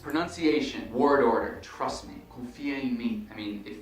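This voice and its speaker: A man's voice: medium pitch, moderate pace, soft background music. A man in a played clip, giving direct, upbeat advice as a language learner. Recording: roomy echo.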